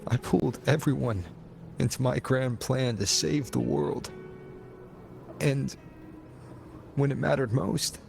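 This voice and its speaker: A man's voice: mid-range voice, slow pace, vocal fry, long pauses, a little mellow. An actor playing a burdened, introspective male hero in a video game, speaking in a subdued, brooding manner. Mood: regretful, troubled. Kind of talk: video game cutscene voice acting. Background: background music.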